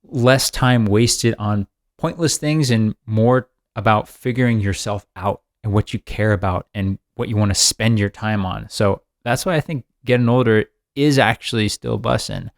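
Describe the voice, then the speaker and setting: A man's voice: on the low side, normal speed, creaky, no room sound, studio quality. A man in his mid-thirties, co-hosting a podcast in an upbeat, rambling chat. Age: 30s. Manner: rambling, upbeat, laughs often. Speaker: podcast co-host. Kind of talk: casual chat.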